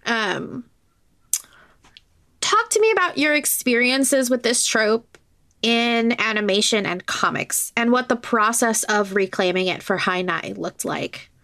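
A woman interviewing a guest on a podcast, asking conversational questions in careful, measured phrasing. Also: on the high side, expressive, pushed and bright.

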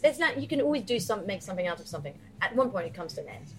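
A woman's voice: mid-range voice, sing-song, very fast, rough recording. Chatty woman chef, laughing often. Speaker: chef, cookbook author. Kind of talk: casual chat.

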